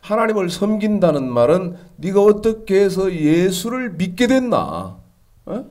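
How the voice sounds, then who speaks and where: A man in his sixties preaching a Sunday sermon, his voice stuffy and nasal from a cold, rising high and emphatic as he drives his points home. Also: very animated, unhurried, a little mellow. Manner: rises to an emphatic raised pitch when preaching. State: congested with a cold. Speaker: pastor.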